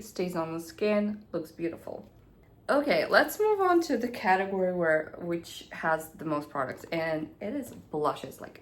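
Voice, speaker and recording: A young woman chats to camera about her beauty favorites in a somewhat low voice. She is stuffy and nasal from a cold, and she rattles along casually, sometimes sinking to a near-whisper. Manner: chatty, hurried, drops to near-whispers at times. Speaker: beauty vlogger. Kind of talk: casual product review to camera.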